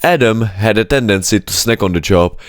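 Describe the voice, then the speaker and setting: A man's voice: on the low side, fairly quick, no room sound, studio quality. A man narrating a scripted children's story as an audiobook-style reader.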